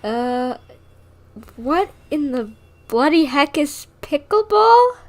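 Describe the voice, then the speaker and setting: Female game streamer, slightly high voice, casual and rambling, given to animated complaining. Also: slow. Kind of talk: casual livestream chat while gaming.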